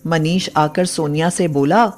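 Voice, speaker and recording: A woman's voice: deep voice, steady tone, measured pace, soft background music. A woman narrating a scripted moral story as a storyteller.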